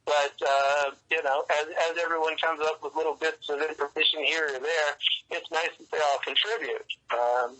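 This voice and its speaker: A man speaking casually as an interview guest, explaining things in a halting, rambling way over a telephone line. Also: a little high, fairly even intonation, sharp tone. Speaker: interview guest, comics writer and fan club organizer.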